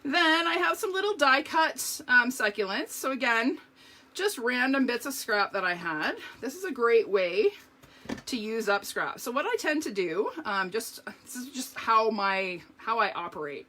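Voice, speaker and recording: A woman with a slightly higher, bright voice, a little hoarse, walks her viewers through a card-making project. She talks in an easy, chatty way, explaining each step as she goes. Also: expressive, measured pace. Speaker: craft demonstrator. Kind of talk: live card-making demonstration.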